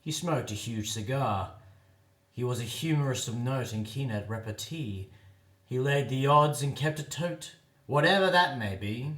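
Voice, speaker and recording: A man giving a theatrical, expressive dramatic recitation of a comic ballad as a poetry reader. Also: mid-range voice, unhurried, echoey room, rough recording.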